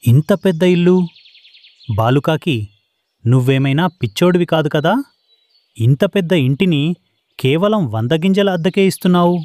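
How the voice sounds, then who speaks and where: A man's voice: a little high, expressive, normal speed, pauses often, a little mellow, dry recording, clean studio sound, lightly acted. A man playing a poor, trusting father pigeon, earnest and anxious, in a children's cartoon. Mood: surprised, hesitant. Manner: earnest, innocent, pleading.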